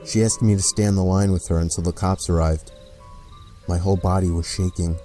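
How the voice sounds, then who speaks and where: A man reading a scripted first-person horror story in a measured, deliberate way, with pauses between phrases. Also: deep voice, steady tone, warm tone. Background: background music.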